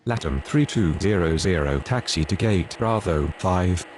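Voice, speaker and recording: A man's voice: low voice, lively intonation, measured pace. A man making procedural air traffic radio calls in a game.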